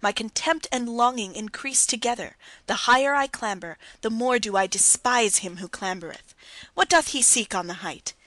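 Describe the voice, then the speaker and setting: Woman voicing a young man, anguished, pleading, impassioned, higher and louder, dry close audiobook sound. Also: expressive, normal speed, no echo.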